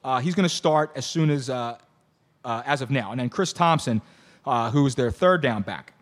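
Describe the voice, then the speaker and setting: A hoarse man hosting a casual sports talk show, excitable and rambling with lots of restarts. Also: medium pitch, normal speed. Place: bar venue.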